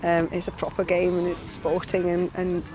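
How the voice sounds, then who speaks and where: A woman's voice: on the low side, completely flat delivery, moderate pace. A woman in her forties talking casually in an interview as a club coach. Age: 40s. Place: open grass playing field. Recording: noisy outdoor field.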